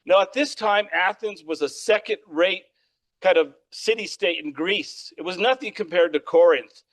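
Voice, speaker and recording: A man lecturing on history with a loud, projecting voice, often checking in with "all right?". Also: sits high, moderate pace. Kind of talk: public lecture. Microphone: microphone.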